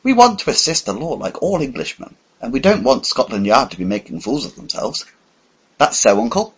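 A man voicing a composed young gentleman who addresses a stranger respectfully and in measured tones. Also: mid-range voice, big pitch swings, slightly rough, highs cut off, voice pushed up.

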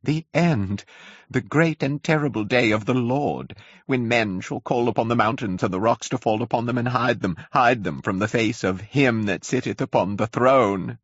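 A man voicing a panicked curate, half-mad with fear, wailing in a high, shrill voice. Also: moderate pace, breathy edge, no echo, dull audio, close to an everyday voice. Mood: doom-laden.

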